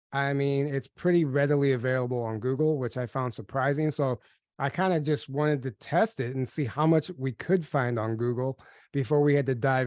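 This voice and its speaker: A man hosting a webinar in a casual, upbeat way, still a bit nasal from a cold. His voice sits at an ordinary pitch, and it is recorded close and dry, with no room sound. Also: a little mellow, dry recording. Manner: upbeat, chatty, reads slides aloud. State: getting over a cold, a little nasal. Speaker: podcast co-host.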